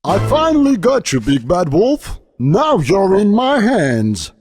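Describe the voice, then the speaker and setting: A man's voice: sits high, huge pitch swings, slow, a little mellow, faint music behind, heavily acted. Man acting a stern hunter, swooping delivery. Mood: triumphant. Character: stern, protective adult male hunter. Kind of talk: animated fairy tale character acting.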